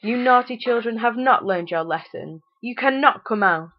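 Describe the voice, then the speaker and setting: A cruel storybook mother, played by a woman storyteller, scolding and menacing. Her voice jumps high and loud, with words stretched out theatrically. The recording is dull and lo-fi. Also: animated. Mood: gloating. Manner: scolding, drawn-out, theatrical delivery. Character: cruel, shrieking mother, false-sweet and menacing.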